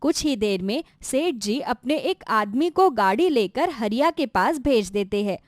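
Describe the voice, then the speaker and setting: A woman's voice: a little high, lively intonation, measured pace, no echo, clean studio sound. A woman narrating a scripted children's moral story as a storyteller.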